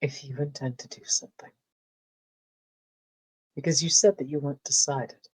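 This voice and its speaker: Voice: mid-range voice, fairly even intonation, normal speed, slightly rough, no room sound, poor audio. A player voicing a wary woman investigator, speaking firmly and dryly in a tabletop game. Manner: firm, dry and warning. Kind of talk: playing a character in a tabletop game.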